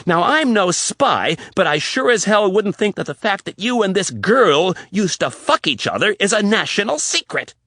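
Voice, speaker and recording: A man voicing a furious, jealous young fiancée in an audiobook, loud and sarcastic. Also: mid-range voice, huge pitch swings, unhurried, few pauses, husky, no echo, studio quality, exaggerated voice. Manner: shouting, biting, sarcastic. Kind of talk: audiobook character voice.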